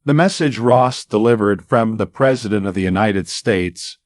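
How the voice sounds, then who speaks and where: A man narrating a scripted anecdote as a storyteller, with dramatic pauses and deliberate, emphatic phrasing. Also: on the low side, dry recording, clean studio sound. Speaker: radio-style storyteller.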